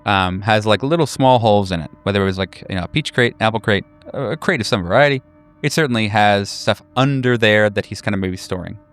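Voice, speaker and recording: A man running a horror tabletop game, improvising scene descriptions in a casual, halting way. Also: fairly low pitch, fairly bright tone, clear, resonant tone. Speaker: game master.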